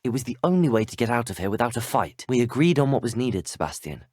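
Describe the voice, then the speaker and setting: Expressive, husky young British student wizard. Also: male voice, medium pitch, fast talker, no echo, clean studio sound. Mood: firm. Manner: courteous, articulate, formal phrasing. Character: earnest, polite young male student wizard, video game hero. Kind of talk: scripted video game dialogue, isolated voice clips.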